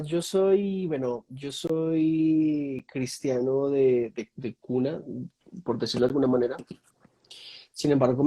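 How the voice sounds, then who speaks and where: A man's voice: mid-range voice, dark tone. A young Colombian man with a Colombian accent, a guest in a casual video-call chat, speaking thoughtfully and listing topics with many fillers. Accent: Colombian Spanish. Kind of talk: casual online conversation.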